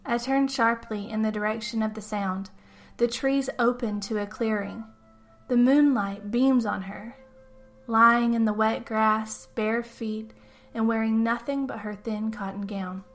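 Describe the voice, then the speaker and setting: A woman with a fairly high voice reads a scripted tale as its storyteller. She narrates in the first person in a dramatic storytelling style. Music plays faintly behind her. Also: steady tone, a little slow. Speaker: podcast host and storyteller.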